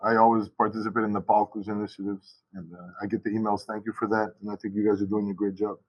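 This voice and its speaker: A man's voice: lowish voice, level tone, fast, highs cut off. Chatty man teacher on a video call. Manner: rambling, with many restarts and asides. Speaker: high school Portuguese teacher. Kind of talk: casual panel talk on a video call. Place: school.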